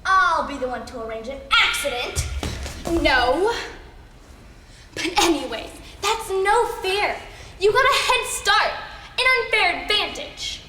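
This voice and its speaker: A woman's voice: high voice, expressive, a little slow, long pauses, music in the background. A young female performer playing catty, giddy soap opera fanatics in an exaggerated comedic school skit. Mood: smug, scheming. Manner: exaggerated, theatrical. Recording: echoey hall, faint noise.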